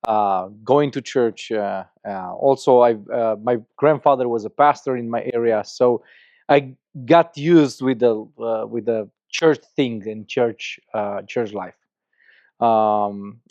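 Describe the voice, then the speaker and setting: A man's voice: mid-range voice, a little mellow, no echo. A middle-aged man from Romania with a Romanian accent, a podcast guest telling his story in earnest, with many restarts and repeated words. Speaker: guest, church planter. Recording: slightly muffled dry podcast audio.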